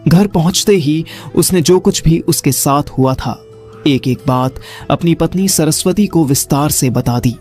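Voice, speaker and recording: A man narrating a scripted horror story in a dramatic, deliberate storytelling manner. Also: fairly high pitch, a little mellow, soft background music.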